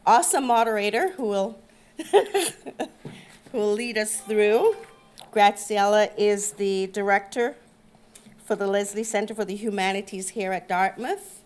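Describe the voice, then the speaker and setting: A woman's voice: medium pitch, unhurried, pauses often, a little mellow. A woman hosting an event, giving upbeat, welcoming opening remarks. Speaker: event host.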